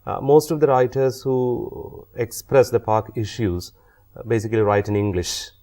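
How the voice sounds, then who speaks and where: A man from India interviewing a writer, asking questions haltingly and repeating words as he forms them. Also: medium pitch, mellow.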